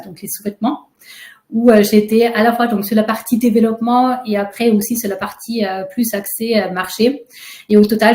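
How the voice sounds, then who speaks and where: Austrian woman, German native, chatty guest on an echoey video call, mid pitch. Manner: relaxed, chatty storytelling with frequent fillers. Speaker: alumna guest. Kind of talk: webinar interview.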